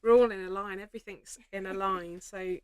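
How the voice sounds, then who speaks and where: A woman's voice: mid-range voice, fairly even intonation, a little fast, clear, resonant tone, no room sound. A woman hosting a live craft stitch-along, practical and reassuring as she thinks aloud while she works. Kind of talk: casual live craft demonstration.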